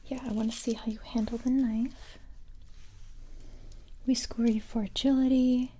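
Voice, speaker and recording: A woman doing a soft-spoken, whispered ASMR roleplay as a calm, encouraging police recruiter, gentle and hesitant, close to the microphone. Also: fairly high pitch, slight background noise. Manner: gentle, hesitant, unhurried reassurance.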